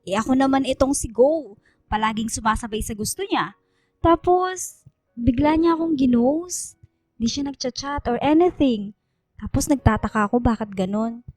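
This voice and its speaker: A chatty young woman in a radio drama, with a high voice that sits close and dry over faint music. She is dramatic and gossipy, confiding in friends in casual, expressive speech. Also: normal speed, dry recording.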